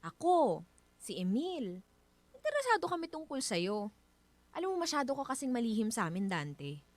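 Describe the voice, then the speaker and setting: Curious filipina wife in a radio drama, teasing and chatty, pitch swings a lot. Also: female voice, huge pitch swings, normal speed, no echo. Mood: prodding. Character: curious, playful, prying married woman hosting a guest. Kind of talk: Filipino radio drama acting with reflective narration.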